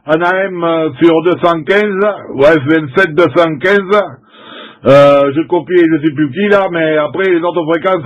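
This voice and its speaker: A middle-aged man from France talks as a CB operator in a somewhat high-pitched voice. His chat is casual and colloquial, halting and circling back over the same phrases. The sound is a little dull and lo-fi. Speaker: amateur CB radio operator. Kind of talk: casual CB radio contact chat.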